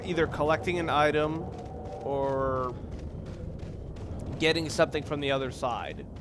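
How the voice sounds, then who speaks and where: A young man with a fairly high voice talks through a video game in a loose, rambling way, often stopping to correct himself. Clear background noise sits under his voice along with the game audio. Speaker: let's play commentator. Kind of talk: casual gameplay commentary.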